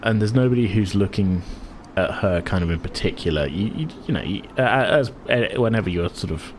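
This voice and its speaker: Low-voiced man narrating a tabletop game. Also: expressive, fairly quick, slight background noise. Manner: rambling, self-correcting. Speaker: game master.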